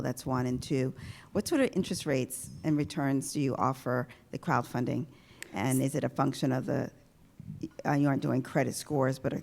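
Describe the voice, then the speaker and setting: A woman with an unusually deep, gravelly voice asks a multi-part question from the audience. She is businesslike, and faint noise sits under the recording. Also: a little mellow. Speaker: audience member, board member.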